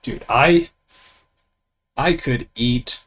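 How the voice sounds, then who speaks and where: A young man at a home mic, talking in an easygoing, rambling way with lots of ums and restarts. His voice sits at an ordinary middle pitch. A faint hiss of room noise sits under it. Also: fairly bright tone. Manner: rambling, halting with many fillers and restarts; laid-back, swears casually. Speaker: VTuber streamer. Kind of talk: casual self-introduction stream.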